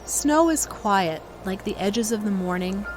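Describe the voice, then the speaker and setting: A woman's voice: mid-range voice, expressive, unhurried, low-quality recording. A woman reading a poem aloud as its author. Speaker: poet reading own work. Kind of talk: poetry reading. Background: music.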